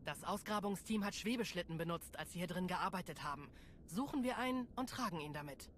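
Curt, low-voiced female fighter. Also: expressive, brisk, fairly bright tone. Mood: urgent. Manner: curt, commanding. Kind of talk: dramatic game voice acting. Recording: clearly noisy ambient background.

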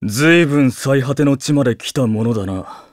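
An actor playing a brusque, impatient demon fighter in an anime game, rough-spoken and contemptuous. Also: male voice, medium pitch, fairly quick, dry recording, studio quality, close to an everyday voice. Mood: cold menace.

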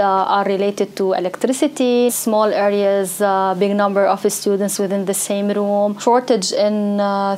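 A woman's voice: medium pitch, a little mellow. Hesitant young woman teacher. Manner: hesitant, many uh fillers, listing problems. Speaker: English teacher interviewed. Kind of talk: interview answers. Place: indoors.

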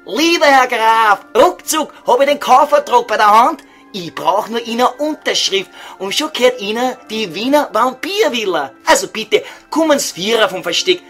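A man's voice: very high voice, lively intonation, normal speed, a bit bright, faint music behind. A man playing a cheeky, foul-mouthed estate agent in a comedy audio sketch, talking colloquially with a Viennese accent. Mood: eager. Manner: crude, wheedling, colloquial.

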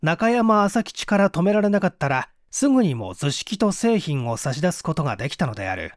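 A man's voice: a little high, sing-song, fairly quick, no echo, clean studio sound. A man narrating an audiobook in a dramatic storytelling style.

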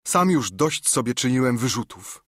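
A man's voice: fairly high pitch, very animated, a little slow, fairly bright tone, husky, dry recording, studio quality, voice pushed up, heavily acted. A man voicing a frightened but honourable teenage boy who pleads and holds firm, in an audiobook. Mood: pleading. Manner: pleading, stubborn. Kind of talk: audiobook character reading.

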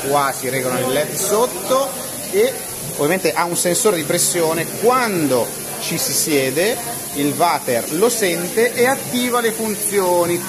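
A man speaks in a rather high voice. He chats to camera in a joking, animated way, like a gadget reviewer. A busy fair hall crowd is clearly heard around him. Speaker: tech reviewer and vlogger. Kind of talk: casual chat to camera while demonstrating products. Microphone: handheld phone at arm's length.

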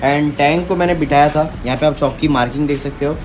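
A young man talks fast through his workshop project, explaining each step to the camera. His voice sits a little high. Music plays clearly beneath him. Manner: mixes Hindi with English words, explains step by step. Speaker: vlogger building a custom motorbike. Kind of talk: casual walkthrough explaining work to camera. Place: metal-walled garage workshop, indoors. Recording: music playing under the voice. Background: background music.

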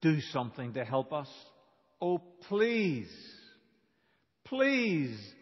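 Male pastor, sermon delivery, deliberate and emphatic, unhurried pace, dull muffled recording. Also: a little high, sing-song. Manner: deliberate, emphatic, dramatic pauses and rhetorical questions. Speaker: pastor preaching. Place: large reverberant church. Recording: dull, reverberant church hall recording.

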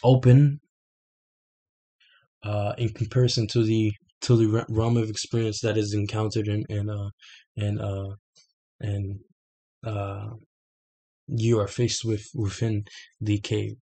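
Young man with a dark, gravelly voice. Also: fairly low pitch, flat delivery, slow pace, long pauses. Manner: hesitant, many fillers and restarts. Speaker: self-made explainer. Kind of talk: unscripted explanation over a screen share. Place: small bedroom with red lighting, indoors. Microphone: webcam at desk.

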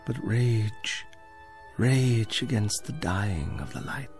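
A condemned man of letters in a radio play. He is defiant but calmly dignified, with a measured, reciting delivery at a middling pitch. Faint music sits behind him. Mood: impassioned. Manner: theatrical, measured, literary recitation. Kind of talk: radio drama acting.

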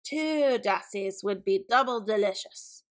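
A woman's voice: mid-range voice, animated, measured pace, natural voice. A woman voicing a big, greedy old male eagle in a storybook, screechy and chanting out menacing threats. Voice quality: screechy, squawking.

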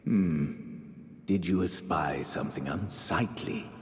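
Deep, slow, genteel sorcerer priest. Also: male voice. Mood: veiled warning. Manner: theatrical, archaic, drawn-out phrasing. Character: genteel, eerie aristocratic sorcerer high priest, grandiloquent and soothing. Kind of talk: dramatic fantasy game character dialogue. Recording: echoey hall reverb, faint rumbling noise.

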